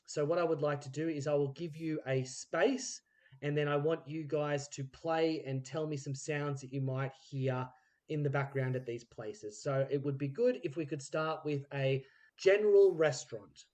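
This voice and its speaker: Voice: medium pitch, fairly even intonation, normal speed, a little mellow. A podcast host casually interviewing guests and setting up improvisation games.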